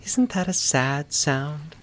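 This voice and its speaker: A man voicing a small, lonely, shy and eerie woman in a horror story, speaking softly and hesitantly. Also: fairly high pitch, expressive, takes it slowly, a little hiss or hum, pitched above the performer's own voice. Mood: shy, wistful. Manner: soft, hesitant, diffident.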